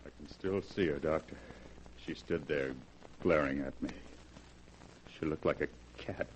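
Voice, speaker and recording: An actor playing a haunted, bitter husband in a grave, dramatic 1940s radio horror style. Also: male voice, rumbling low, unhurried. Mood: haunted, brooding. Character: haunted, bitter husband, desperate to escape his wife. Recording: hissy vintage 1940s radio recording.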